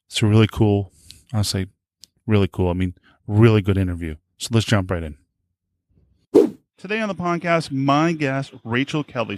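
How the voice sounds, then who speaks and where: A man's voice: lowish voice, dry recording. A man hosting a podcast in a casual, informal way, often rambling and rephrasing himself. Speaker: podcast host. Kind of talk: casual podcast introduction and interviewing.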